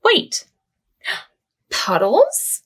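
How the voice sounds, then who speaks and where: A cheerful, imaginative little girl voiced by a woman reading a storybook aloud chirps and exclaims in a high voice that leaps up and down. Her delivery is slow and deliberate, as in a children's picture book. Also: wildly swooping pitch, full character voice. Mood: eager. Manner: bright, eager, sing-song exclamations.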